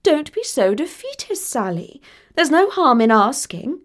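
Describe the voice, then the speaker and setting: A woman's voice: high-pitched, very animated, measured pace, voice pushed up. A woman voicing a haughty, dramatic young princess in a storybook, demanding and melodramatic. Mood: haughty, imperious. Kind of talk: acted dialogue in a storybook reading.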